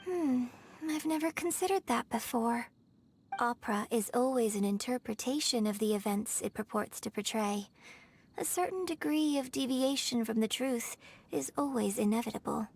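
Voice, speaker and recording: A woman playing a poised, eloquent young opera performer in a video game, telling things in a measured, theatrical way. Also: on the high side, vocal fry, a little hiss or hum. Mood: thoughtful. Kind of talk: video game character acting. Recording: background music.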